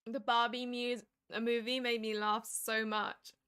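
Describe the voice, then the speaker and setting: A woman in her late twenties chatting casually on a stream, animated and laughing often. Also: a little high, a little slow, clear, resonant tone. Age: 20s. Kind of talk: casual chat.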